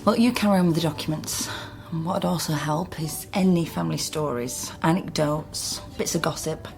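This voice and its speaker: A British genealogist in a radio mystery drama. Her voice sits fairly low, and she talks in a dry, self-mocking, matter-of-fact way. Music plays clearly behind her. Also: moderate pace, darkish tone. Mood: wry, businesslike. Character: wry, solitary female genealogist, brisk and self-mocking. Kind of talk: naturalistic radio drama acting.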